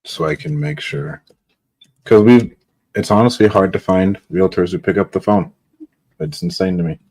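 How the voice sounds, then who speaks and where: A man's voice: low-pitched, sing-song, moderate pace, darkish tone. A man from California, a real estate investor, chatting casually on a phone call.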